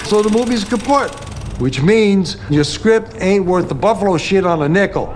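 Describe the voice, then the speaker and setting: A man's voice: sits high, lively intonation, moderate pace. A man playing a brash, crude Hollywood tough guy with a coarse way of talking. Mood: scornful.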